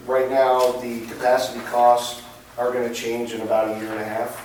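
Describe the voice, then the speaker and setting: A man, an energy consultant, presenting at a public council meeting, his voice hoarse and going, with halting ums and uhs. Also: medium pitch, completely flat delivery, darkish tone. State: losing his voice. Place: council chamber. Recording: echoey chamber, far from mic, noisy.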